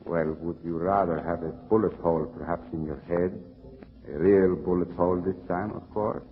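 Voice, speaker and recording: An actor playing a menacing, mock-polite masked bandit in old-time radio melodrama, on a muffled recording. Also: male voice, sits low, steady tone, normal speed. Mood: mock-polite menace. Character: masked bandit, suave, sadistic, menacing male villain.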